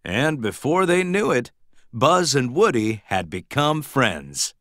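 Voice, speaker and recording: A man reading a children's storybook aloud in an expressive, dramatic, emphatic storytelling manner. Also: mid-range voice, takes it slowly, no echo, clean studio sound. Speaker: storybook narrator.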